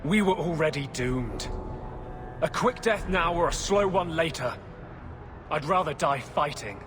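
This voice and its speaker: An actor playing a grim, resolute rebel mage in a fantasy game, intense and fatalistic. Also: male voice, a little high, lively intonation, measured pace, noisy background. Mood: resigned. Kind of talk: fantasy video game voice acting.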